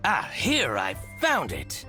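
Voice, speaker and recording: An actor playing an indignant, scheming shopkeeper who flatters officials and grumbles about his rival in a theatrical video game style. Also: a little high, slow pace, over music, exaggerated voice. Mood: eager, scheming.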